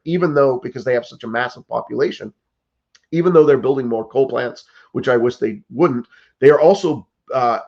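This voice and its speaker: A man's voice: mid-range voice, darkish tone, muffled recording. Earnest, urgent man in his fifties. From United States. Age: 50s. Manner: earnest and urgent, many restarts and "like", "you know". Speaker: political candidate. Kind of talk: interview answers.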